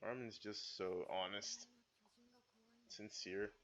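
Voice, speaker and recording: A man for whom English is a second language, calmly commenting on an anime episode in a casual, self-described stoic way, with dull lo-fi sound. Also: fairly low pitch, slow. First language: not English. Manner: self-described stoic, muttering asides and apologies. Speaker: anime reaction video host.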